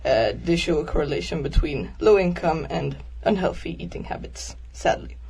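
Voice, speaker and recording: A woman's voice: low-pitched, normal speed, vocal fry, a little mellow, slightly rough. A young woman from Sweden, a volunteer guest presenting a topic on a radio chat, who speaks earnestly with frequent ums. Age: young adult.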